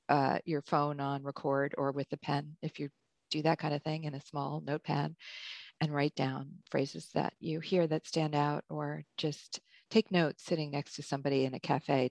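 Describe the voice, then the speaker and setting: A woman's voice: low voice, vocal fry, a little mellow, dry recording. A woman who edits books and coaches writers, speaking in a slightly Hungarian-tinged English, answering thoughtfully with frequent ums and self-corrections. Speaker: book editor and writing coach. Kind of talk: conversational interview answer.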